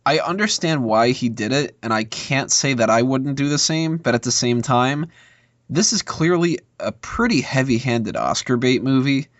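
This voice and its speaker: A man's voice: mid-range voice, a bit bright, dry recording. A man giving casual, opinionated film commentary as a podcast panelist, discursive and full of asides. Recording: dull lo-fi podcast audio.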